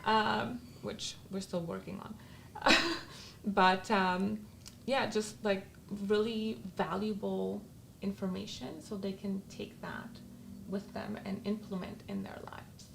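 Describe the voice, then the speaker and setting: A woman's voice: medium pitch, level tone, slow, gravelly, slight background noise. A Polish-born woman with a Polish accent, chatting candidly as a guest podcast co-host and laughing often. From Poland. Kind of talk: casual chat.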